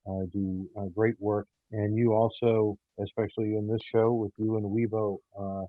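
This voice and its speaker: A man speaking haltingly as an interview guest, with frequent hesitations and pauses. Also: on the low side, flat delivery, no echo. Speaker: audio theater producer and guest. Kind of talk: casual interview chat. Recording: muffled, phone-like narrow sound.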